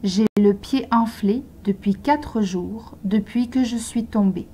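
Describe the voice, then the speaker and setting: A woman reads short model sentences for beginner learners, one at a time. She speaks very slowly and articulates every word with care. Her voice is husky and mellow. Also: mid-range voice. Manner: slow, carefully articulated dictation. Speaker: language teacher.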